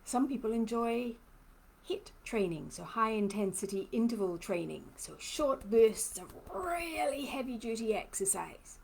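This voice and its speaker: A woman's voice: mid-range voice, gravelly, a little mellow, poor audio. A woman from Trinidad with a mixed, hard-to-place accent, a lymphoedema therapist giving a chatty live-stream talk and answering viewer comments. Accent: mixed, hard-to-place blend. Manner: chatty, personal, laughs often.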